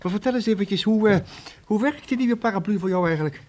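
A man's voice: high-pitched, quick pace, darkish tone, slight background noise, natural voice. A man playing a genial, eccentric older professor-inventor in a children's audio drama, avuncular and slightly pompous. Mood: genial, curious.

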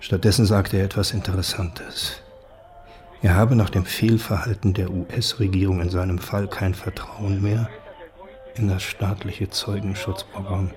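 A man's voice: low-pitched, little pitch movement, unhurried, a little mellow. A man reading scripted documentary narration in a sober, factual manner.

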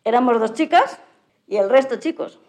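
A woman's voice: on the high side, expressive, normal speed, darkish tone. A woman with a Spain accent answering interview questions casually, in a plain, matter-of-fact way. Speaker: interview guest, adaptive sports athlete. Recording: slightly dull lo-fi audio.

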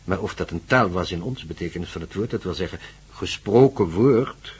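A man's voice: low voice, normal speed, breathy edge. An actor playing a learned, fatherly older professor in a radio drama, speaking in a precise, didactic way. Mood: patient.

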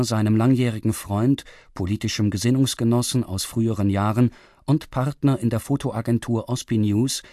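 A man reading a scripted summary as announcer, measured and matter-of-fact. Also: medium pitch, warm tone, no echo, clean studio sound. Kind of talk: scripted narration.

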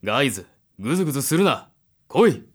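A man's voice: mid-range voice, sing-song, fast. An actor playing a contemptuous man in authority in an audio drama, with a mocking, commanding manner. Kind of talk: Japanese audio drama acting.

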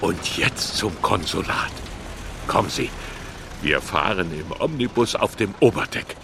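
An older man playing an elderly, excitable, self-mocking exiled poet in an audio drama, with a theatrical, emotional delivery. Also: sits low, a little slow, gravelly, noisy background, full character voice. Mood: cheerful.

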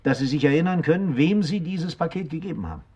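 A man's voice: fairly high pitch, expressive, quick pace, warm tone, husky, highs cut off. An actor playing an urgent but courteous male police captain in a 1970s German radio crime drama. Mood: urgent, insistent. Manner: polite but insistent questioning.

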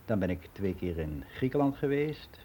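Older man giving frank, matter-of-fact radio play monologue; soft dark tone, slightly noisy recording. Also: low-pitched, sing-song, normal speed. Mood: matter-of-fact, reminiscing. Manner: matter-of-fact, rambling, candid.

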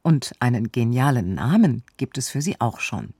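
A man's voice: medium pitch, sing-song, moderate pace, warm tone, slightly rough, no echo, clean studio sound. A man reading a scripted synopsis as the narrator of a radio drama. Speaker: narrator giving the recap.

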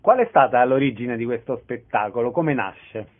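A man's voice: medium pitch, lively intonation, moderate pace. A man working as an interviewer, putting questions and making announcements in a radio-style conversation. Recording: tinny phone-like band with faint noise.

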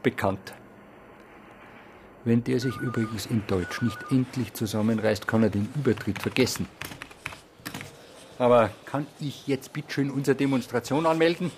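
A man's voice: medium pitch, moderate pace, pauses often, noisy background, natural voice. An actor playing a correct, insistent male schoolteacher, speaking formally and pointedly in a radio drama. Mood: impatient, stern. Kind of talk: naturalistic radio drama dialogue.

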